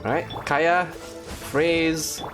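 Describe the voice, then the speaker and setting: A woman giving casual, wry gameplay commentary as a gaming video host, in an animated manner. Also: low-pitched, very slow, over music. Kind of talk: casual gameplay commentary with dubbed-along dialogue.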